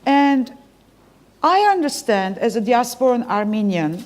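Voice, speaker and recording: A woman from Lebanon, speaking as an interviewer at a live public event. Her voice sits a little high and she talks in an earnest, reflective way, often pausing as she searches for the right words. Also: lively intonation, a little mellow.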